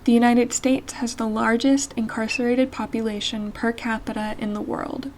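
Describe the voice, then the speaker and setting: Woman, higher voice, earnest essay reader, slight background hum. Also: little pitch movement, normal speed, darkish tone. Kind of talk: reading an essay aloud.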